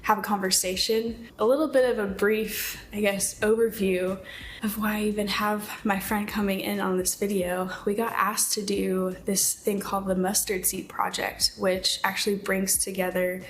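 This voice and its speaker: A woman's voice: medium pitch, level tone, normal speed, a little hiss or hum. Chatty young woman hosting at home. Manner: bubbly, chatty, many fillers. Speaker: host. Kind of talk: casual chat. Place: living room at home.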